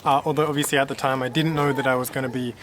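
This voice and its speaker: A man in his late twenties from Australia, talking casually to camera in a relaxed, rambling way with many fillers and restarts. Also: mid-range voice, racing, slight background noise. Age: late 20s. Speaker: vlogger.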